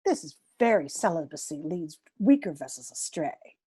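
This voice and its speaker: A woman playing a prim, coy, middle-aged governess who moralizes pointedly in a dramatic reading of a stage comedy, with a husky voice. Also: sits low, very animated, moderate pace, warm tone, no room sound, studio quality, heavily acted. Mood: coyly moralizing.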